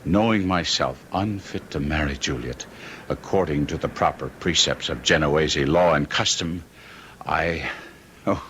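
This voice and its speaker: A man's voice: very deep voice, unhurried, a bit bright, a little hiss or hum. An actor playing a proud, remorseful young nobleman, speaking with formal, melodramatic passion in an old-time radio drama. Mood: bitter remorse.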